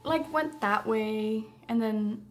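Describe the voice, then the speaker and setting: A woman's voice: fairly high pitch, slow pace, a little hiss or hum. A young woman chatting casually and improvising as a player in a tabletop roleplaying game. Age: young adult. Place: indoor studio set.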